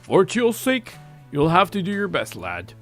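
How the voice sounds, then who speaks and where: A man voicing a teasing, gruff old country grandfather in a story, with a wry manner. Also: high voice, huge pitch swings, measured pace, faint music behind, pitched above the performer's own voice, full character voice. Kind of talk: character voice in a story reading.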